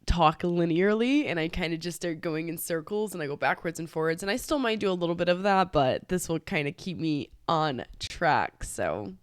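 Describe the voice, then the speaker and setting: A woman's voice: fairly low pitch, expressive, moderate pace, hardly any pauses, smooth, no room sound, clean studio sound. A woman hosting a podcast, speaking casually and hesitantly with many fillers and restarts. She sounds nasal from a cold and talks from her room at home. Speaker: podcast host. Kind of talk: casual confessional podcast talk.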